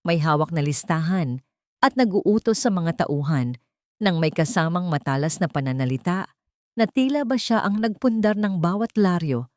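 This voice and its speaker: A woman with a Filipino accent narrating a scripted dramatic first-person story in a measured, emotive way. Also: on the low side, animated, darkish tone, no echo, clean studio sound.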